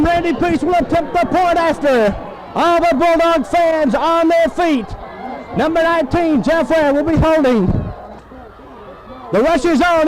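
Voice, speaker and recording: A man's voice: very high-pitched, rough recording. A man calls live football play-by-play on a 1970s radio broadcast, in clipped phrases full of names and numbers.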